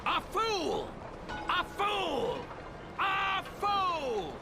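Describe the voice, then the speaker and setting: High, pompous soapbox zealot. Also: male voice, very animated, drawn-out words, gravelly, noisy background, exaggerated voice. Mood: frantic. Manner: pompous, theatrical soapbox oratory. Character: zealous bigoted male street preacher handing out pamphlets, indignant. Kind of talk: video game acting.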